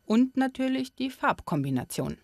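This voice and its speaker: A woman narrating a history documentary in a calm, informative and lightly playful manner, and also reporting on camera. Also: sits high, normal speed, vocal fry, dry recording. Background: music.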